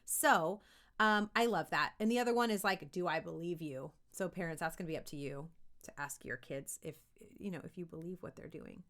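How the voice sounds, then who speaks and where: A woman's voice: lowish voice, fairly quick, fairly bright tone. A woman giving an informal tutorial as a voice acting coach, talking directly and expressively to kids and parents in an encouraging way. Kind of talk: informal tutorial talk to camera.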